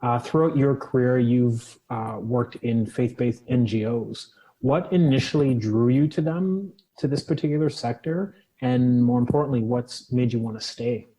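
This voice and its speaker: Mellow husky male interview host. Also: mid-range voice, normal speed, poor audio. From Canada. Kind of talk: hosting an interview.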